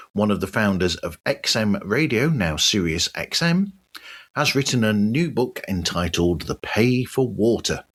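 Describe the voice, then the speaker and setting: Slow, low-voiced man reading podcast news. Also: a bit bright. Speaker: podcast host. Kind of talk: scripted news roundup narration.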